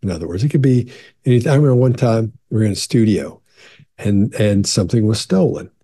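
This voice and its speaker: A gravelly man in his sixties talks straight to his webcam from a home office, loose and conversational, circling back to reassure the viewer. His voice sits low and very dark, with a husky edge. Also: lively intonation, quick pace. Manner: conversational, repeats and restarts, leans into reassurance. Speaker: body language expert. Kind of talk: casual Q&A talk to camera.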